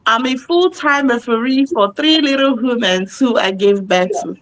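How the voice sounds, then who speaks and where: A woman's voice: on the high side, moderate pace, a little hiss or hum, poor audio. A woman, introducing herself as co-host in a cheerful, joking way. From Nigeria. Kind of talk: playful self-introduction.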